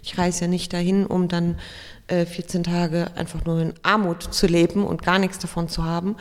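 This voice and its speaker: A woman's voice: fairly low pitch, flat delivery, moderate pace, long reverb tail. A woman interviewing a guest, asking curious, conversational questions.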